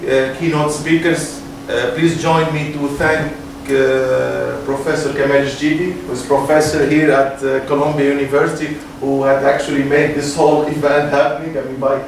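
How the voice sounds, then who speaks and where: Echoey, flat-toned man moderating an event. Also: a little high. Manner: halting, hesitant delivery. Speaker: moderator introducing guest speakers. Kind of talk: unscripted event introduction. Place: university room. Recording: echoey room, distant mic, noisy.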